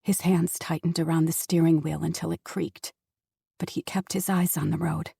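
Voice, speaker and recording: A woman's voice: low-pitched, level tone, normal speed, vocal fry, dark tone, husky, no room sound. A woman narrating an audiobook in a dramatic, intense storytelling manner on a close studio mic. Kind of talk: scripted narration.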